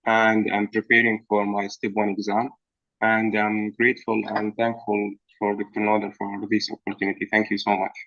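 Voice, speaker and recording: A man introduces himself on a video call in a measured way. His voice is low and even, and the call audio is dull and dry. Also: dry recording. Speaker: meeting participant.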